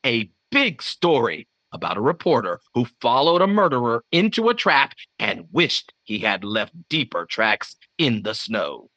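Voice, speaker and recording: An actor playing a smooth, portentous 1950s radio announcer, speaking scripted copy with great deliberation in slightly dull sound. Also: male voice, mid-range voice, huge pitch swings, a little slow, few pauses, sharp tone, full character voice.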